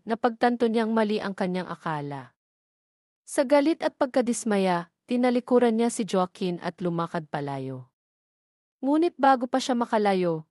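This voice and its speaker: A woman narrating a scripted love story in a steady, even reading voice. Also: medium pitch, no room sound, clean studio sound.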